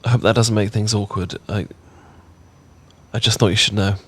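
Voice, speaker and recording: A British man playing a shy, tender roommate in a romantic ASMR audio roleplay, speaking in a gentle, halting, earnest way. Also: fairly low pitch, steady tone, husky. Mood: nervous, earnest. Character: shy, tender male roommate confessing love.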